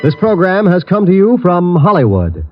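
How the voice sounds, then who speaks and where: A man's voice: high-pitched, huge pitch swings, moderate pace, muffled recording. A man announcing in a scripted 1940s radio style on an old broadcast recording. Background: clear music.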